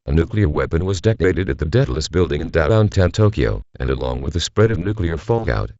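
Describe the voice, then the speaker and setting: A man playing a grave government announcer, reading a scripted emergency bulletin in a formal, measured, solemn manner. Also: deep voice, darkish tone, no echo, highs cut off. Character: grave male government civil defense announcer.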